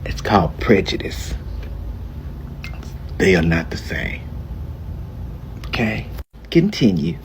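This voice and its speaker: A man's voice: low voice, sing-song, slow, pauses often, a little hiss or hum. A man reacting to a clip in a sarcastic, mocking manner. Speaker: video reactor.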